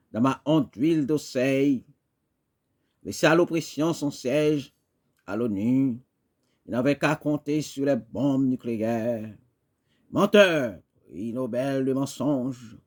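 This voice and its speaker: A man reciting poetry dramatically, in an impassioned, declamatory way with emphatic pauses. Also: mid-range voice, darkish tone. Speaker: poet reciting.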